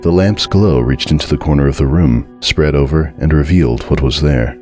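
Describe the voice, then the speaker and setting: A man giving a dramatic, scripted narration of a horror story in a measured, deliberate and suspenseful way. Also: rumbling low, a little mellow, soft background music.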